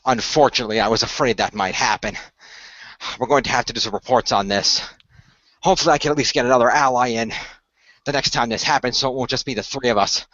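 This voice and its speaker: A man playing an earnest team leader who explains things in a measured way in a radio play, on dull audio. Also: medium pitch, pushed and bright, no echo, lightly acted. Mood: grave, explaining. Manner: measured, expository, sighing.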